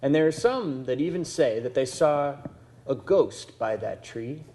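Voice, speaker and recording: A man narrating a puppet show as storyteller, with drawn-out emphasis. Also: medium pitch, lively intonation, a little hiss or hum. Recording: echoey room with loud guitar music.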